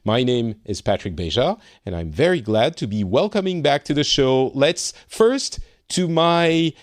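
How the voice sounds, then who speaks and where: A man from France with a French accent, hosting a casual podcast, chatty and quick to correct himself. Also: mid-range voice, animated, no echo, studio quality. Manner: chatty, self-correcting, laughs easily. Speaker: podcast host. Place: home in Finland.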